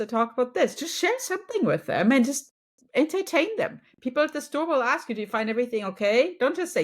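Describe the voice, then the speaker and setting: A middle-aged woman from Germany with a German accent, a native German speaker, giving motivational advice in a conversational interview and often checking in with the listener. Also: high voice. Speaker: business and wellness coach, podcast guest.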